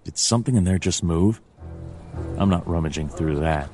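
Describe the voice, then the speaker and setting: Deep deadpan noir detective. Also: male voice, moderate pace, soft background music. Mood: dry, unimpressed. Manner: dry, deadpan, muttering to himself. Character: weary deadpan noir detective man in point-and-click game. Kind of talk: noir adventure game acting.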